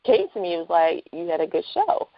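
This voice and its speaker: Gravelly woman on a muffled phone. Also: lowish voice, big pitch swings, brisk, no echo. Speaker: interview guest, writer and entrepreneur. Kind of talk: casual phone interview chat. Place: phone call. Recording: dull lo-fi phone line.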